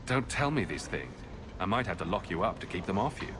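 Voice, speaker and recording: An actor playing a confident young adventurer hero in a video game, speaking in a dry, teasing, protective way. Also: male voice, low voice, very animated, fairly quick, echoey room, background noise. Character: confident, dryly affectionate young human male adventurer hero.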